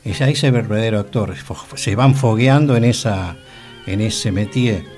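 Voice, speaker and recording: A man's voice: fairly low pitch, normal speed, darkish tone. A man with a Rioplatense Argentine accent, chatting casually as a radio co-host in a studio. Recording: music playing behind the voice. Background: music.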